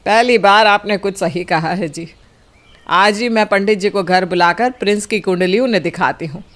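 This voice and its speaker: A woman's voice: mid-range voice, normal speed, natural voice. A woman voicing an aggrieved, complaining middle-aged wife and mother in a cartoon family drama. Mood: pleased.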